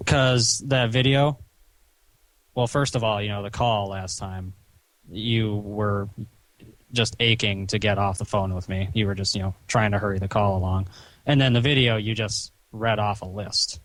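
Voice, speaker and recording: A man with a fairly low voice lectures someone over a recorded call. He sounds stern and reproachful, speaking haltingly, and the sound is dry and close. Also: fairly even intonation, fairly bright tone, dry recording, low-quality recording. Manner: stern, chiding, halting with frequent "you know". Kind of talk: phone conversation, reproachful lecturing.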